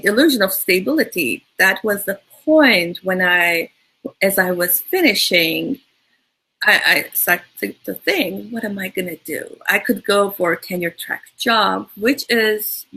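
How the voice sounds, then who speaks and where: A woman's voice: medium pitch, sharp tone, breathy edge, rough recording. A woman from Turkey with a Turkish accent, speaking thoughtfully as an interview guest, often restarting her sentences. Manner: thoughtful, with frequent restarts and fillers. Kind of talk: casual interview talk.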